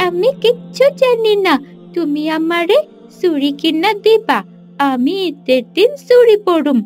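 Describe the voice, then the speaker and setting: A woman's voice: extremely high pitch, animated, a little slow, a little mellow, soft background music, pitched above the performer's own voice. A woman voicing an insistent, whiny little village girl with a rural Bangladeshi accent in a cartoon. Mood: whiny insistence.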